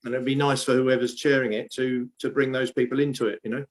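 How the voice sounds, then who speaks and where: Relaxed man running an online meeting, loose rambling delivery, mid pitch. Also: quick pace. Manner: easygoing, laughs often, rambling. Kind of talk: video call chat.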